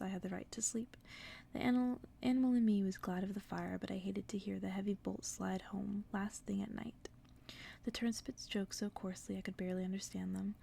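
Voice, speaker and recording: A woman reading a story aloud in a soft, breathy, unhurried ASMR whisper, as if to send the listener to sleep. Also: mid-range voice.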